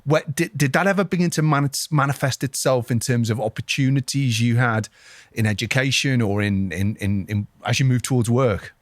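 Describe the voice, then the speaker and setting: A man hosting an interview, friendly and joking, asking halting questions with many restarts. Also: medium pitch, no echo, studio quality. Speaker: podcast host. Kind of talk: casual interview talk.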